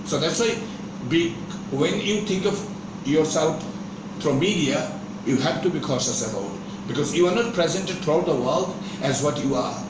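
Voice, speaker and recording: A man from Bangladesh lecturing a class in a measured, repetitive way, often stopping and restarting his sentences. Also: on the high side, lively intonation, distant mic. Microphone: far room microphone. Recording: echoey classroom from afar, air conditioning noise.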